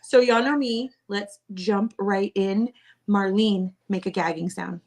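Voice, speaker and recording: A woman's voice: mid-range voice, fairly even intonation, slow, darkish tone. A woman co-hosting a casual podcast chat, bubbly and teasing, laughing often. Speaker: podcast co-host.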